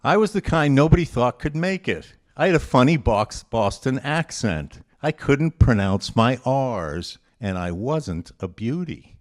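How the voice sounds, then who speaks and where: A man presenting a quote quiz, reading quotations aloud and chatting informally with a group in a deliberate, conversational way. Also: mid-range voice, big pitch swings.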